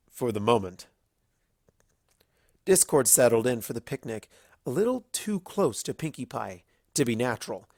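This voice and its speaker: A narrator reading scripted fan fiction as an animated storyteller, with dramatic pauses. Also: mid-range voice, no room sound, clean studio sound.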